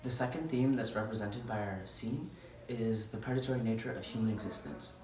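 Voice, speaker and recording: A man's voice: fairly low pitch, fairly even intonation, brisk, darkish tone. A young man explains in a student presentation style. His mid-range voice is distant and echoey, with faint noise behind it. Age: young adult. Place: indoor room with curtained window. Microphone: distant camera.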